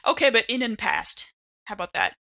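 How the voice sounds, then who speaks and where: Bright-voiced, giggly woman at a game table. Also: on the high side, brisk, gravelly. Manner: giggly, expressive reactions. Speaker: player in a tabletop roleplaying game. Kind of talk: casual game-table chat.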